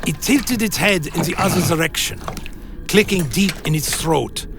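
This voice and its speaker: A man playing an anxious vampire who recounts his own dark tale in a dramatic radio play. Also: a little high, moderate pace, music in the background, close to an everyday voice. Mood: grim, recounting. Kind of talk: first-person dramatic narration, radio play.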